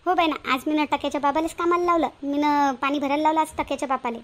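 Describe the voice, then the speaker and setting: A woman's voice: sits high, steady tone, quick pace, close to an everyday voice. A woman voicing an eager, trusting village housewife in a Marathi cartoon, chattering colloquially with a rural Vidarbha accent. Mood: bustling. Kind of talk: Marathi cartoon moral-story dialogue.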